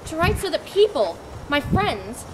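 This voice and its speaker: An earnest, idealistic writer who lives alone in a woodland cabin, read by a woman. The voice is very high and lively, with declamatory lines delivered as a stage reading. Traffic rumbles clearly in the background. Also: a little slow.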